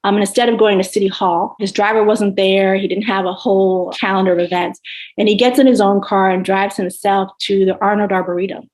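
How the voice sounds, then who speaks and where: A woman's voice: medium pitch, steady tone, brisk, a little mellow, rough recording. A woman speaking as a scholar on a panel, recounting local history.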